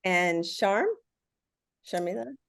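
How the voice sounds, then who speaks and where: A woman's voice: on the low side, slow pace, vocal fry, fairly bright tone. Woman moderating a panel. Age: middle-aged. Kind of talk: formal welcome and panel moderation.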